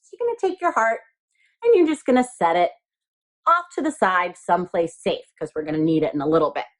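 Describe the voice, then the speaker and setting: Female primary teacher, very lively intonation, guiding little kids through a craft in simple, encouraging, repetitive language. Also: on the low side, fairly quick. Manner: encouraging, simple words, repeats instructions. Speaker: elementary school teacher. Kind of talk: step-by-step craft instruction for young children.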